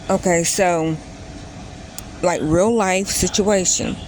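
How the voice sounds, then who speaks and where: A woman's voice: on the low side, expressive, drawn-out words, dark tone, a little hiss or hum. A woman in her late thirties telling a personal story in a casual vlog, rambling and often stopping to correct herself. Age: 30s. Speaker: vlogger.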